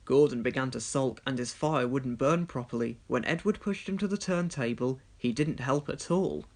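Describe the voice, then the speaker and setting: A man's voice: mid-range voice, normal speed. A man reading a scripted children's story as the storyteller.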